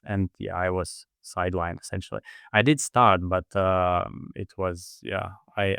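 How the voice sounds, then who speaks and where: A man from Latvia speaking reflectively as an interview guest, halting now and then as he searches for words. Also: low-pitched, fairly bright tone, clear, resonant tone, no echo, clean studio sound. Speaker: podcast guest, endurance athlete and heart rate expert.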